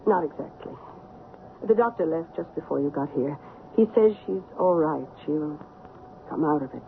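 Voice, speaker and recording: A woman's voice: low voice, expressive, moderate pace, vocal fry. An actress playing a worried, earnest lady who is friend to a haunted playwright, speaking politely in an old-time radio drama. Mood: grave, worried. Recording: noisy, narrow-band old radio recording.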